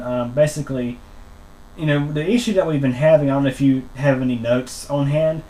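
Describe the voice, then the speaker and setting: A man explaining a technical complaint as a customer on a phone call, wordy and halting, often repeating himself. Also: medium pitch, warm tone. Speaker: customer calling internet support, channel host.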